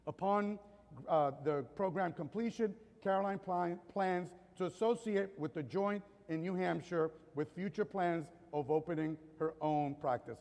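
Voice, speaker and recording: A man reading a formal introduction of a graduate at a ceremony, measured with light joking asides, at a podium microphone. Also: high-pitched, darkish tone. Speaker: faculty member introducing a graduate. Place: ceremony hall. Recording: long hall reverb.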